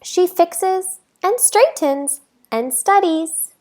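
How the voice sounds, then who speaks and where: A woman reading a children's picture book aloud as a teacher, with expressive delivery and deliberate, emphatic phrasing for young listeners. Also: very high voice.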